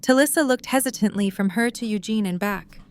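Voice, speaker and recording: A woman's voice: medium pitch, fairly quick. A woman reading scripted story narration as the narrator of an audio drama.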